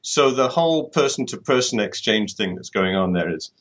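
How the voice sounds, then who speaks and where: A man's voice: lowish voice, expressive, normal speed, a bit bright. A middle-aged man from the UK, answering interview questions thoughtfully and often repeating words as he restarts. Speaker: author guest.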